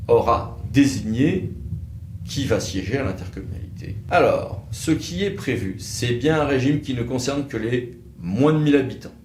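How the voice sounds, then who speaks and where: Man, low-pitched, legal explainer, sceptical asides, halting phrasing, roomy echo with faint noise behind. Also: darkish tone. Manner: wry, sceptical, pointed asides. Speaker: legal expert presenter. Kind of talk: explanatory legal commentary on a bill.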